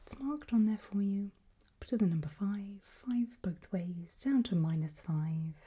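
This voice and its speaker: A woman speaking in a breathy whisper and very soft voice, playing a calm, reassuring doctor in a gentle, patient ASMR roleplay. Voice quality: breathy whisper mixed with very soft low speech. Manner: gentle, unhurried, encouraging, patient. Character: calm, reassuring doctor running a creepy memory test. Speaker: ASMR performer playing a doctor giving a memory test.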